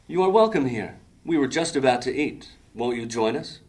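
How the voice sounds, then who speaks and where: A man's voice: mid-range voice, expressive, moderate pace, darkish tone, slight background noise, close to an everyday voice. An actor playing a formal, proud male leader of a thieves' gang in an audio drama, speaking ceremoniously.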